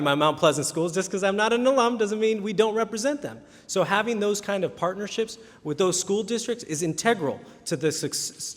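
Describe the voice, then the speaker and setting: A man answering questions at a candidate forum, earnest, often restarting his words. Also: high voice. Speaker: city council candidate. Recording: reverberant hall.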